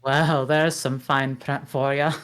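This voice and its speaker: A woman's voice: rumbling low, little pitch movement, slow pace. A woman voicing a grumpy, sarcastic male ogre at a table read of a film script. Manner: gruff, sarcastic.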